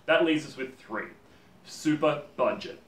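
A man's voice: on the high side, a little slow, far from the mic. A man in his thirties with an Australian accent, talking casually to camera as host of a woodworking channel in his home workshop. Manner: chatty and animated, gestures while talking. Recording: roomy workshop sound from off-mic.

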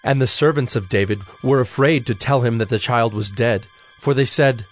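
A man narrating scripture in a measured, formal way as a scripted reading. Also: medium pitch.

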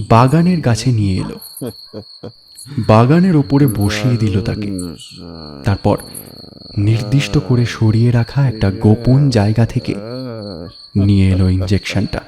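A man narrating a crime story in a measured, suspenseful, dramatic storytelling style. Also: lowish voice, a crowd in the background. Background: background music.

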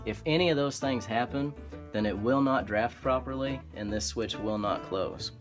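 A man with an Oklahoma accent, an HVAC technician explaining furnace repair in a relaxed, practical way, with frequent ums and restarts. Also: mid-range voice, moderate pace, over music. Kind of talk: instructional how-to explanation. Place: indoor utility closet by a furnace.

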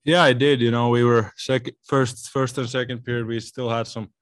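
A man in his twenties, a hockey player answering postgame questions in an arena press room into a table mic, halting and repeating words. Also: mid-range voice, level tone, dry recording. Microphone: table mic in front.

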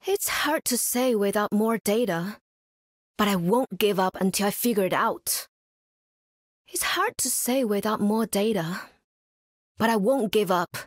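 An actress playing a kind, upbeat young scientist who talks like a caring aunt, with a gentle lilting manner. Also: female voice, mid-range voice, a little slow, pauses often, dry recording, clean studio sound, natural voice. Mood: determined.

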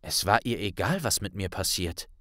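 A man's voice: low voice, lively intonation, normal speed, fairly bright tone, no echo, clean studio sound, voice pushed down. A man playing an earnest, troubled teenage wizard in an audiobook, with an intent, questioning manner. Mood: puzzled, intent. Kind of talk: audiobook character dialogue.

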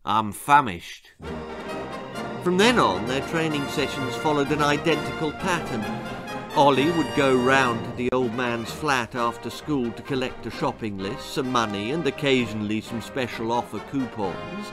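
An older man reading his own story for children as an expressive narrator. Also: medium pitch, moderate pace, music in the background. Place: small book-lined room indoors.

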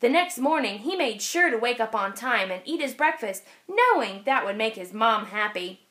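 Casting: female teacher for picture-book read-aloud, high voice, expressive, very lively intonation, clear phrasing. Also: moderate pace. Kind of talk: children's storybook read-aloud. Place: indoors, over an open picture book.

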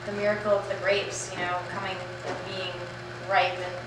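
A woman's voice: mid-range voice, flat delivery, normal speed, noisy background. A woman in her thirties chatting casually as the host of an interview. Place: large indoor winery barrel room and tasting bar. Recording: very echoey room, distant mic, music underneath. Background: clear background music.

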